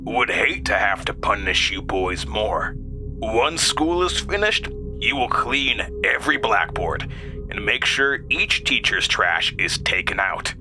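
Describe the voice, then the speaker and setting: Sinister old schoolmaster done by a man: stern, formal, unhurried, threatening. Horror audio drama, music underneath. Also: lowish voice, animated. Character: stern, sinister, ancient male school authority.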